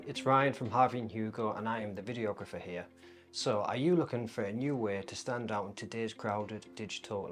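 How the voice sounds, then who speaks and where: A man reading an upbeat promotional pitch for a podcast production company. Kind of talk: read promotional ad.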